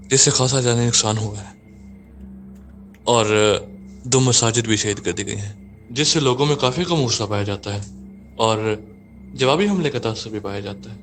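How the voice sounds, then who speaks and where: A man's voice: medium pitch, natural voice. A worried male messenger in an audio drama, voiced by a man, reporting grim news in a respectful, formal manner. Faint music plays behind him.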